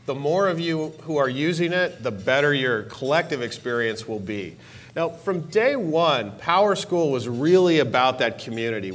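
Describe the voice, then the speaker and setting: A man's voice: a bit bright, slight background noise. A man in his forties on a conference stage, giving an enthusiastic keynote speech about a product release. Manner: upbeat, enthusiastic pitch with stressed repeated words. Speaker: keynote speaker, technology executive. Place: large conference hall stage. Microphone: lapel mic.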